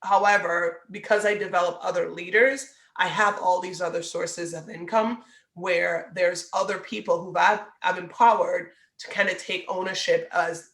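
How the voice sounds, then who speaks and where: A woman's voice: on the low side, a bit bright, echoey room. A woman from Trinidad and Tobago talking earnestly about leadership as an interview guest. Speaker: guest, real estate team leader. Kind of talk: casual interview over video.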